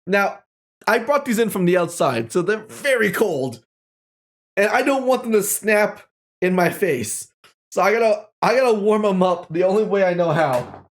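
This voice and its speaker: A playful streamer talks casually to an online audience. The pitch jumps around with great liveliness, and phrases come out as exclamations, often repeated for effect. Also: animated, moderate pace. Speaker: livestream host. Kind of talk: casual livestream chat to viewers.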